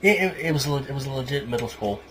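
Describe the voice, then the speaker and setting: A man hosting a casual podcast chat, excitable and often repeating his phrases. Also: medium pitch, fast, crowd noise. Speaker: podcast host. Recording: clearly noisy recording with clicks.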